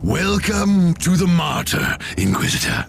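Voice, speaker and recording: An actor playing a battle-hardened, proud space marine sergeant in a video game, speaking in a grandiose, dryly sardonic way. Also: male voice, high-pitched, big pitch swings, a little slow, gravelly, exaggerated voice. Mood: weary, sardonic. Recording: loud music behind the voice. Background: loud game music.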